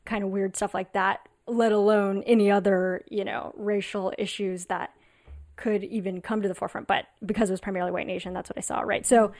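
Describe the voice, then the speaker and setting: A woman in a relaxed panel chat, thinking through ideas out loud in quick runs full of "you know" and "like." Her voice sits at an ordinary pitch for a woman. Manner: thoughtful, quick, filler-heavy musing. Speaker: comedian. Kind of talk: casual panel conversation.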